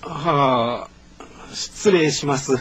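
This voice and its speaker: A man's voice: medium pitch, extremely slow, noisy background. An actor playing an awkward, courteous male schoolteacher with a Kansai accent in a radio drama, hesitant and apologetic.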